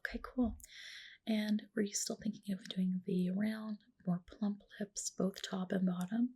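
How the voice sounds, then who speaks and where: A woman with a soft, breathy, whispering voice doing a gentle, reassuring ASMR roleplay as a spa practitioner, close to the microphone. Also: mid-range voice, fairly even intonation, measured pace. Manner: gentle, reassuring client-care talk. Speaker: ASMR creator playing a medical spa practitioner. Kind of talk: ASMR roleplay, personal attention.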